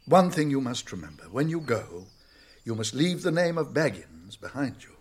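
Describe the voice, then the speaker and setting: An actor playing a stern, shrewd old wizard with a British accent and a gruff, husky voice in a radio drama. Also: male voice, mid-range voice, very animated. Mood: grave, urgent. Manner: authoritative, deliberate, brisk questioning.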